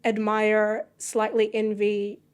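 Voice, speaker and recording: A woman's voice: mid-range voice, completely flat delivery, takes it slowly, clear, resonant tone. A woman from Iraq, a podcast guest, talking reflectively and searching for words in a casual interview.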